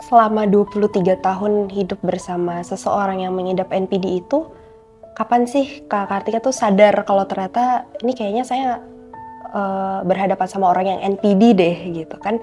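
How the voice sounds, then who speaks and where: A woman's voice: mid-range voice, fairly even intonation, normal speed, a little mellow, soft background music. A woman interviewing in a casual, informal and empathetic way.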